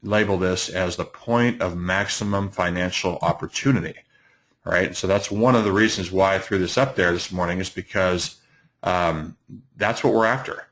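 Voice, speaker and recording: Deep-voiced middle-aged man, slightly hoarse; halting, filler-heavy webinar host. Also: fairly bright tone, rough recording. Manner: halting, many fillers and restarts. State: hoarse from allergies. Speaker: trading educator, webinar host. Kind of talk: live webinar presentation.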